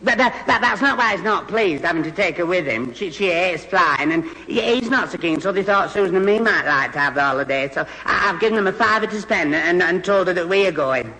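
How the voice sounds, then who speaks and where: A man's voice: high voice, lively intonation, fairly quick, hardly any pauses, bright, forceful tone, long reverb tail, full character voice, low-quality recording. An actor playing a flustered, gormless, eager young man with a Northern English accent, stammering and muddling his words in a 1970s radio comedy. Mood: nervous, muddled.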